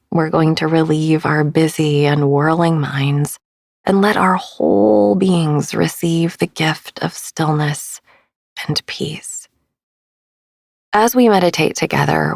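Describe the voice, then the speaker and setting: A woman narrating a guided sleep meditation as host, soft and breathy, soothing and unhurried, often trailing into a whisper. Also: lowish voice, dry recording, studio quality. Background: soft background music.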